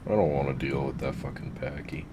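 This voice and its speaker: A man with a very deep voice giving laid-back, rambling, profane commentary over his game. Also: steady tone, brisk, noisy background. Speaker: gamer narrating his play. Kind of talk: casual gameplay commentary.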